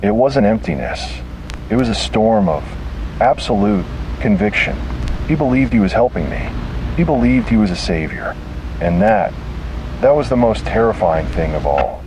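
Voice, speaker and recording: A man reading a scripted horror story slowly and deliberately, with dramatic pauses. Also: on the low side, lively intonation, noisy background.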